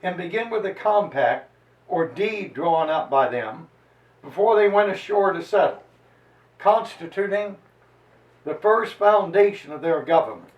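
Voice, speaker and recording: High-pitched man declaiming in an echoey room. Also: animated, highs cut off. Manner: declamatory, solemn, with dramatic pauses and swells. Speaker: preacher giving a dramatic reading. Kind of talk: theatrical dramatized reading of a historical narrative. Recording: roomy echo, distant microphone.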